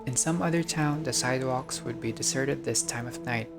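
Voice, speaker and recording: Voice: medium pitch, moderate pace, vocal fry, over loud music. A gamer reading a visual novel aloud in a casual let's-play style, breaking off into joking, sweary asides.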